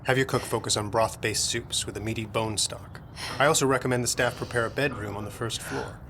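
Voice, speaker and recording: An actor playing a grave, courteous physician to a noble family in a period audio drama, clinical, polite and firm. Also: male voice, medium pitch, steady tone, fairly quick, a bit bright, a little hiss or hum, lightly acted. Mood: professional, reassuring.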